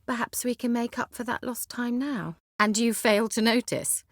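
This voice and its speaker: An actress voicing a haughty, witty, sly sorceress in a video game, with an arch, formal and sardonic way of talking. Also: female voice, a little high, steady tone, normal speed, no echo, studio quality, natural voice. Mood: wry, composed. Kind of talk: video game character dialogue.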